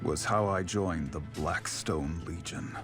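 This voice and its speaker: An actor playing a battle-hardened mercenary knight in a video game, speaking tersely and gravely. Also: male voice, low-pitched, slow, loud music behind the voice. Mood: grave, reflective. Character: battle-hardened mercenary turned knight, recounting his own story. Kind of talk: scripted game narration and dialogue.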